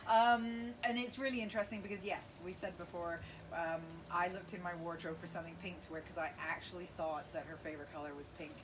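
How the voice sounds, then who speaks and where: A woman in her forties hosting a casual interview, gushing and animated, breaking into gasps and laughs. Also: lowish voice, fairly quick, few pauses. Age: 40s. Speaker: podcast host. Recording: noisy background.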